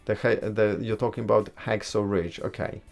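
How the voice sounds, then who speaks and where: A man from Poland, hosting a casual live stream, reading and answering viewers' comments in a relaxed, chatty way and giving blunt opinions. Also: fairly low pitch, normal speed, gravelly, faint music behind. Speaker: livestream host.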